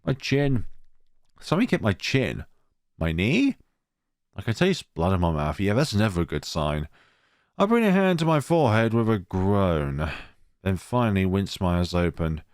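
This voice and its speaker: A man with a fairly low voice reads a horror visual novel aloud. He moves between story narration and casual commentary, with a dry, understated wit in his asides. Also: big pitch swings, normal speed, dry recording. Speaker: video game let's player.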